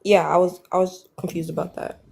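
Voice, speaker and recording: Soft-spoken young woman caller. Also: lowish voice, level tone, fairly quick, creaky, husky. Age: 20s. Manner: soft, hesitant, youthful-sounding. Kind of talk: casual live stream conversation.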